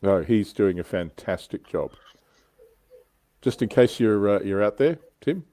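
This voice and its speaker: A low-pitched man talks as a show host in an easygoing, conversational way. His voice is relaxed and mellow, with keen interest in the hobby, and the sound is close and dry. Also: unhurried, no room sound. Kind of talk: casual hobby chat.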